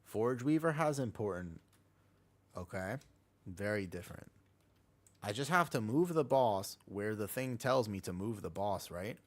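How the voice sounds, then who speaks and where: Guy streaming a game, kinda low voice, chill and chatty, lots of gamer slang. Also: animated, unhurried. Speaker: live streamer playing an online game. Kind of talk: casual livestream chat while gaming.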